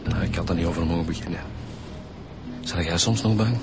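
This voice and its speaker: A subdued Flemish detective in a radio crime serial. His voice is very low and natural, with loud music underneath. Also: fairly quick, gravelly. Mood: troubled. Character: subdued, troubled male police detective. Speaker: radio drama actor. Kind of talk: radio drama acting.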